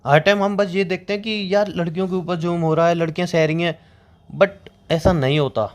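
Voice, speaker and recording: A man's voice: high-pitched, steady tone, brisk, natural voice. A young man playing a wronged friend in a phone-call drama, defending himself in an earnest, insistent way. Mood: defensive, hurt.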